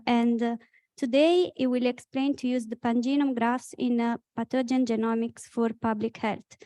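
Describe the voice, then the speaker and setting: A woman chairing a conference session, introducing the next speaker haltingly with many uhs. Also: on the high side, warm tone, dry recording. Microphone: video call.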